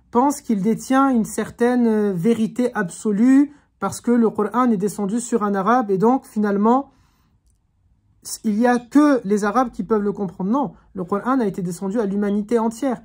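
A woman gives a religious lesson over a video call. Her pitch sits in a middle range, and she speaks in an earnest, reflective way. She often pauses to think, then presses her point home. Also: darkish tone, slightly rough. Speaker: Quran teacher. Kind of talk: online religious class.

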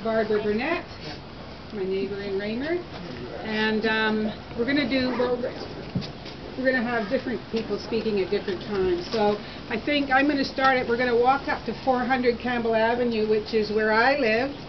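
A woman's voice: on the high side, moderate pace. An older woman guiding a walking tour outdoors, introducing people to a group without a microphone. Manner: direct, speaks without a microphone. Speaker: tour guide and former resident. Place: outdoors on a city street. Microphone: phone camera close by, no microphone. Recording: dull phone audio with street noise.

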